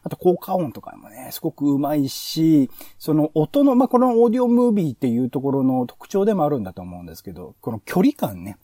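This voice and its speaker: A man's voice: fairly high pitch, very animated, quick pace, few pauses, dark tone, no room sound, clean studio sound. A man hosting a podcast, giving conversational review commentary in an analytical, explanatory way. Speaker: podcast host.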